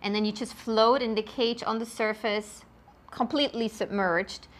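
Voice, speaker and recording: A woman's voice: a little high, steady tone, moderate pace. A woman from Switzerland with a Swiss accent, a marine biologist answering interview questions in an enthusiastic, explanatory way, with many ums.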